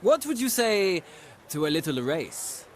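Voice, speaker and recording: High-voiced, lively aristocratic horseman. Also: unhurried, a little hiss or hum. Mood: eager, coaxing. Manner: formal, florid, courtly phrasing. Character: refined, haughty aristocratic horse connoisseur, playful and eager. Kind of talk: video game character acting.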